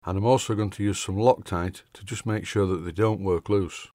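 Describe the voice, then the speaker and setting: An older man with a Yorkshire accent, reading a measured workshop voice-over with dry humour. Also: deep voice, steady tone, darkish tone, dry recording. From Yorkshire, England. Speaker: hobby machinist presenter.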